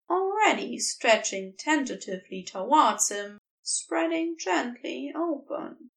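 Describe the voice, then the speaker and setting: A woman narrates romantic fiction in a tender, storytelling way. Her pitch sits a little high and moves with lively swings, and she takes her time over each phrase. The recording places her slightly away from the microphone. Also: creaky, far from the mic, low-quality recording. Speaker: amateur fan fiction narrator.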